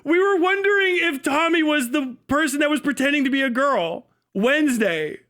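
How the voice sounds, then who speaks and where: A man with a very high, bright voice gives casual live commentary. He is mocking and sarcastic, swears freely and gets giggly and excitable as he reacts. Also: normal speed. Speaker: livestream host.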